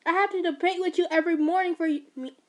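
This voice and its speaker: A woman voicing an angry teenage boy who shouts indignantly at his overbearing mother in a read-aloud story. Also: very high-pitched, steady tone, fast talker, pitched above the performer's own voice. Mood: furious.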